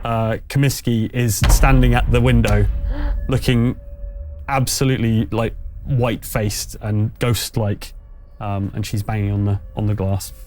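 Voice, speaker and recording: A man's voice: fairly low pitch, flat delivery, a little slow, darkish tone, faint music behind. Male game master with a hesitant delivery. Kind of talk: improvised tabletop game narration and casual chat.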